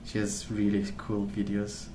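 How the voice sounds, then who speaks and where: A man rambling casually in a soft-spoken ASMR style, hesitant and meandering as he hosts. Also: fairly low pitch, monotone, unhurried, reverberant, noisy background. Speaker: ASMR video host.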